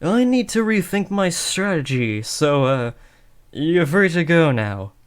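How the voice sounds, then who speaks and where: A man's voice: fairly high pitch, big pitch swings, slow pace, smooth. A man playing an awkward teenage trainee supervillain. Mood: embarrassed protest. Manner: hesitant, awkward, self-correcting. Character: awkward, flustered teenage boy trainee supervillain, earnest and harmless. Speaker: audio roleplay performer. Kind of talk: comedic roleplay voice acting addressed to the listener.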